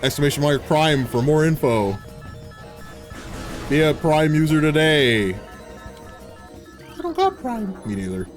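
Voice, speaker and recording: An excitable man in his thirties gives casual commentary as he plays, cracking jokes and laughing often. His voice sits a little high for a man and swings widely in pitch. Game music plays clearly behind him. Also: takes it slowly, pauses often. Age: 30s. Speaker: game streamer. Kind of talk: casual live gameplay commentary.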